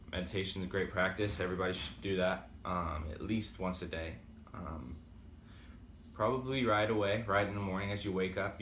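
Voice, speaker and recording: A man's voice: lowish voice, a bit bright, roomy sound, slight background noise. A man with a slight Boston accent talking casually and rambling with many fillers in a motivational vlog. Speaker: vlogger. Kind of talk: casual motivational talk to camera.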